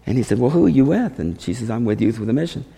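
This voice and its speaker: A man with a gravelly, mellow voice tells missionary stories as a testimony. He is animated in how he relays who said what. The voice rings through a reverberant hall. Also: medium pitch, quick pace. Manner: animated, acts out dialogue. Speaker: missionary speaker. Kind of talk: testimony storytelling to a congregation.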